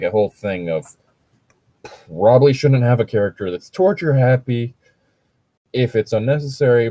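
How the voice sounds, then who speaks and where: A man with a low voice talks casually through his opinions, stopping and restarting as he goes. The sound is dry and close, and he peppers his talk with fillers and the odd swear word. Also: mellow, no room sound. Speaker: podcast host reacting to read-aloud Reddit posts. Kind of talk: casual chat and commentary.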